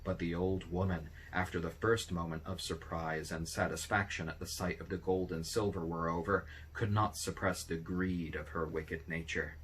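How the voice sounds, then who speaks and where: A man reading a fairy tale aloud as an expressive narrator. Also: low-pitched, normal speed, hardly any pauses, a little hiss or hum. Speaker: storybook reader.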